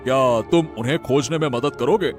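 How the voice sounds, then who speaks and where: A man playing a kindly, courteous king in a storybook drama, speaking in a gentle, deliberate, formal way. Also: mid-range voice, soft background music, close to an everyday voice. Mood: gracious, thoughtful.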